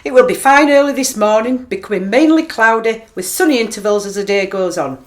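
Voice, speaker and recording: A woman playing a formal, measured 1960s radio weather forecaster reading an official bulletin. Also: fairly high pitch, expressive, lightly acted. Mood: formal, composed.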